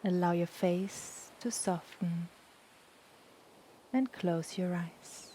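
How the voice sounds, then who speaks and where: A woman guides a relaxation meditation in a low, mellow voice. She speaks very slowly and softly, leaving long, soothing pauses between phrases. A faint wash of ocean sound runs behind her. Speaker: yoga nidra meditation guide. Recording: steady background noise, ocean waves.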